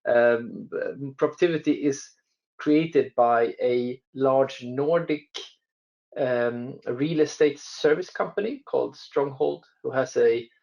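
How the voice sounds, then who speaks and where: A man's voice: medium pitch, takes it slowly, vocal fry, darkish tone, muffled recording. Hesitant Swedish man on a call. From Sweden. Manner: hesitant, many restarts and fillers. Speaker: telecom industry guest. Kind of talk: conversational interview answers.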